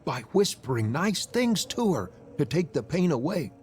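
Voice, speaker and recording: A man voicing a gentle, earnest little girl in a visual novel reading. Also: fairly high pitch, very animated, moderate pace, slight background noise. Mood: tender, earnest.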